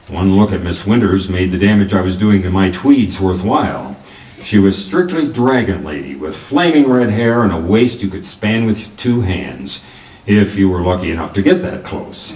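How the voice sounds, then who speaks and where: An actor playing a wisecracking, tough private detective in a 1940s-style radio drama, with wry, clipped delivery. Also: male voice, lowish voice, darkish tone, close to an everyday voice. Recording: roomy, distant mic with clear background noise.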